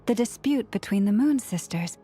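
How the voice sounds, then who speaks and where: A woman playing a quiet, pensive young woman in a video game, speaking in a subdued, hesitant way. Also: mid-range voice, expressive, moderate pace, husky, faint music behind.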